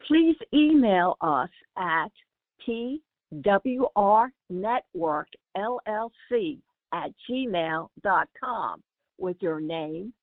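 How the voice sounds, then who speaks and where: Promo announcer, woman, slow measured read, tinny phone-like sound. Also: mid-range voice, dry recording. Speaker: station announcer. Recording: tinny dry phone-like audio.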